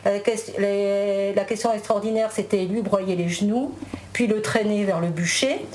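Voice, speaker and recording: A woman's voice: medium pitch, fairly even intonation, measured pace, darkish tone, a little hiss or hum, rough recording. A woman whose first language is Spanish, a guest in a casual interview chat, talking with many fillers and restarts.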